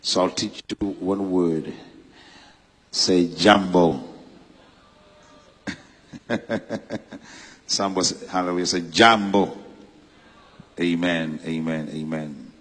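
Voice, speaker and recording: A man from Uganda preaching a church sermon, fervent and rising to loud proclamation. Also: low voice, steady tone, takes it slowly, pauses often, breathy edge, in a large hall. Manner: fervent, slips into loud shouted preaching and scripture reading. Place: church hall.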